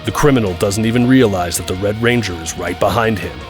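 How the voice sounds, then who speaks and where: A man's voice: on the low side, a little fast. A man reading scripted narration as the narrator of an audio drama. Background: music.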